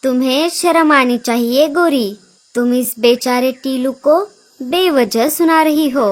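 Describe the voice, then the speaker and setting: A woman voicing a protective, grandmotherly cartoon aunt bird who is righteous, firm and bossy. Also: high voice. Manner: firm, admonishing. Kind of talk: animated children's story dialogue.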